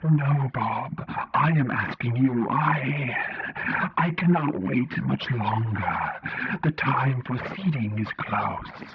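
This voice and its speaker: A man's voice: mid-range voice, lively intonation, slow, lo-fi sound, heavy background noise. A man voicing a solemnly polite, tentacled alien creature who speaks in a stilted, formal, literal way in an audio story. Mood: earnest, grave.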